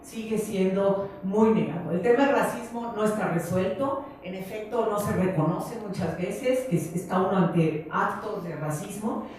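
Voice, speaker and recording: A woman with a Mexican accent introducing a speaker at a conference in a semi-formal, hesitant way, often pausing mid-phrase. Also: medium pitch, a little mellow. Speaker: event moderator introducing a speaker. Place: large echoey conference room. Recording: echoey hall, distant mic, faint noise.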